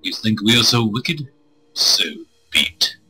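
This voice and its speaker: A man streaming a visual novel, reading it aloud with crude, irreverent joking asides, in a husky voice. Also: on the low side, sing-song, unhurried, pushed and bright, rough recording. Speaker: game streamer. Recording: music playing behind the voice.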